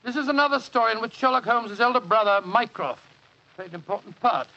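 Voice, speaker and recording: A man's voice: very high voice, animated, fairly quick, pushed and bright, full character voice. An actor playing a jovial elderly English doctor in a 1940s radio drama, avuncular and blustering, repeating himself as he tells his stories. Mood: avuncular storytelling. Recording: dull 1940s radio broadcast.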